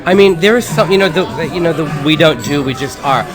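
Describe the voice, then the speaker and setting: A man co-hosting a casual talk show, reminiscing in a halting way with repeated phrases and restarts. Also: on the high side, music in the background. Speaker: co-host of a web talk show.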